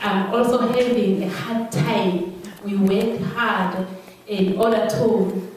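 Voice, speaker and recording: Echoey, halting Swazi woman presenting slowly. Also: medium pitch, steady tone, darkish tone. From Eswatini (Swaziland). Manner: halting, searching for words. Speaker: teacher. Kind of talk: live slide presentation to an audience. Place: large echoey room, speaking to an audience. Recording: echoey hall from far off, background noise.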